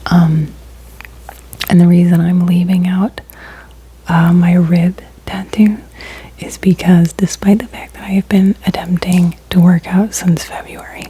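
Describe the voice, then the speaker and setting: Woman, breathy ASMR whisper, low, dark and mellow, husky. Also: level tone, unhurried, low-quality recording. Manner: breathy whispering with frequent ums. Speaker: ASMR creator. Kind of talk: ASMR soft-spoken chat.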